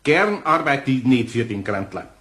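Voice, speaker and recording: An actor playing a grumbling but good-hearted older master carpenter in a children's radio play, folksy and plain-spoken, with a Bavarian accent. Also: male voice, mid-range voice, sing-song, normal speed, fairly bright tone. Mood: easygoing.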